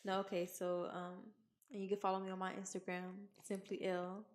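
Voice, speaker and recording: A woman's voice: medium pitch, monotone, a little fast, darkish tone. A woman in her twenties from Arkansas, co-hosting a casual podcast, chatty and repeating herself as she talks. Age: 20s. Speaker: podcast co-host. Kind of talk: casual chat.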